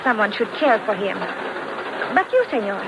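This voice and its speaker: A woman's voice: mid-range voice, very animated, moderate pace. An actress playing a polite young woman, speaking in careful, formal phrases in 1950s radio drama style. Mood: polite, friendly. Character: polite young Portuguese woman traveling home by train. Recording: noisy old 1950s radio recording.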